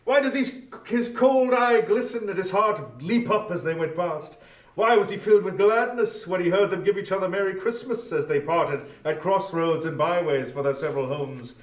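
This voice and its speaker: A man reads scripted literary narration with expressive, theatrical storytelling. His pitch is a little high, and he sounds set back from the microphone in a reverberant room. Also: normal speed. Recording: echoey room, distant from microphone.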